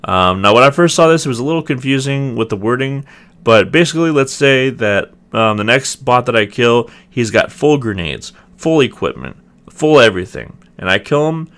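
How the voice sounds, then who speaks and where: A young man with a slightly raspy voice, casually reviewing a video game and hedging often. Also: mid-range voice, sing-song, normal speed, fairly bright tone. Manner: rambling, hedging, many ums and restarts. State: clearing throat, voice getting raspy. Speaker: gaming video host. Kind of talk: casual gameplay review commentary.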